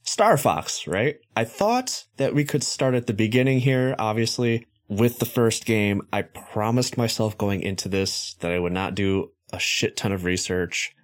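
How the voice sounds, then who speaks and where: A man hosting a podcast in a relaxed, joking way, swearing casually. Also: on the low side, measured pace, few pauses. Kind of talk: casual chat.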